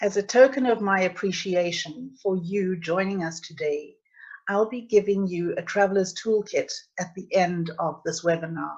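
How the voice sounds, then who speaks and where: A woman's voice: fairly low pitch, moderate pace, darkish tone. A middle-aged woman with a South African accent, hosting a travel webinar and reading viewers' chat messages aloud. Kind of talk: presenting a live travel webinar. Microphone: computer mic on a video call. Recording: dull lo-fi webinar audio.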